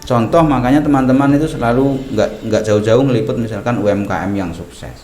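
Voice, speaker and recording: A middle-aged man from Banyumas, a media director speaking as a podcast guest in a relaxed, talkative way with frequent fillers. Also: mid-range voice, fairly quick. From Purbalingga, Banyumas, Central Java. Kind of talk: casual podcast interview. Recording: echoey room with music underneath.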